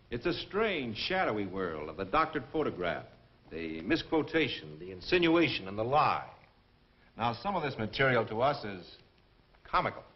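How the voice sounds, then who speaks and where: American male officer-presenter for 1950s propaganda film; emphatic, wry, animated pitch; muffled vintage film audio. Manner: emphatic, deliberate, sardonic delivery. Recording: dull 1950s film soundtrack, music behind.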